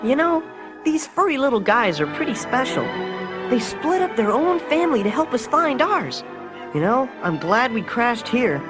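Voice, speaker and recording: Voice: mid-range voice, big pitch swings, moderate pace, music in the background, close to an everyday voice. A performer playing a brave, earnest young boy in a dramatic read-along storybook adventure. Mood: warm relief.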